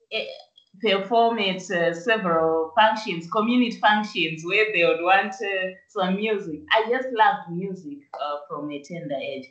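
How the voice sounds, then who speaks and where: A young woman from Zimbabwe talks slowly and fairly low over a video call. Her voice comes from a distance in a roomy space. The sound is dull and lo-fi. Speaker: musician and actress guest. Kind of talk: casual video-call interview. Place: small room indoors. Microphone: video call webcam.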